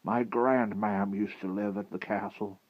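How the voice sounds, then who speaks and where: A man's voice: lowish voice, flat delivery, measured pace, no echo, lo-fi sound, voice pushed up. A man voicing a reserved, terse male goat, a loyal helper in a storybook. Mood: guarded.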